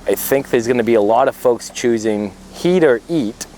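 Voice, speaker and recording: A man's voice: medium pitch, expressive, moderate pace, a little mellow. A man who owns a small business, speaking conversationally in an interview. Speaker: small heating fuel business owner. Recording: clearly noisy location sound.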